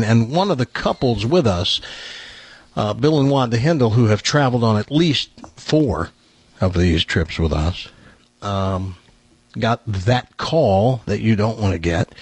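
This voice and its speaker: A man's voice: on the low side, slightly rough, no echo. A man hosting a radio show, chatting casually in a joking, rambling way. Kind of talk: casual talk show chat.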